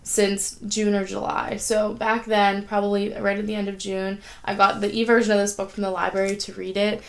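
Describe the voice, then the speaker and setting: A woman's voice: mid-range voice, level tone, normal speed, gravelly. Young adult woman, casual at-home vlogger, chatty and rambling, a little sick and sniffly. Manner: chatty, rambling, frequent "like" fillers. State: sniffly with a sore throat. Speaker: writing vlogger. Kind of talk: casual vlog chat. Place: at home, indoors.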